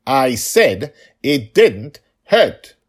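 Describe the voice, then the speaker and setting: Man playing a stubborn little girl, husky, curt. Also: mid-range voice, very animated, very slow, voice pushed up, heavily acted. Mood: stubborn, defiant. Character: stubborn, defiant five-year-old pirate girl.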